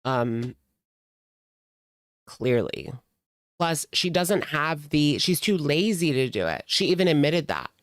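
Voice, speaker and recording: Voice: medium pitch, sing-song, slow, long pauses, no echo, studio quality. A reaction channel host talking casually in a blunt, mocking and sardonic way while commenting on a video.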